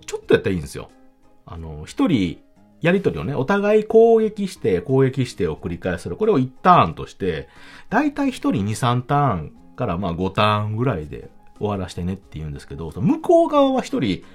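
A man with a Kansai accent hosting a casual solo talk podcast, chatting in an animated, emphatic way. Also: fairly low pitch. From Kansai, Japan. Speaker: podcast host. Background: background music.

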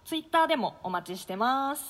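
A woman's voice: sits high, lively intonation, moderate pace, a bit bright, in a large hall. A woman co-hosting a radio show in a chatty, conversational style. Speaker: radio show co-host, actress.

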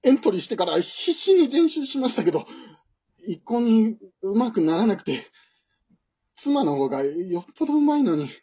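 A woman's voice: mid-range voice, big pitch swings, moderate pace, mellow, husky, muffled recording. A woman playing a timid, flustered married man in an amateur radio drama, stammering, apologetic and self-deprecating. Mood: dejected, anxious.

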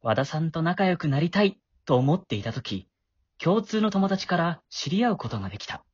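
A man's voice: fairly high pitch, big pitch swings, moderate pace, breathy edge, dry recording, muffled recording. A man acting a shy, awkward middle-school boy with a crush in an amateur voice drama, flustered and prone to stammering. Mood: wistful narration.

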